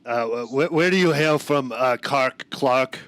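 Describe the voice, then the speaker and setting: A man's voice: mid-range voice, unhurried, fairly bright tone, no room sound. A man hosting a comedy talk show, teasing his guests and laughing often. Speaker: host.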